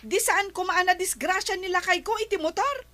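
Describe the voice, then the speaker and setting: A woman's voice: very high-pitched, a little fast, pushed and bright. A woman playing an insistent village woman who keeps blaming the captain, in a radio comedy drama. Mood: complaining.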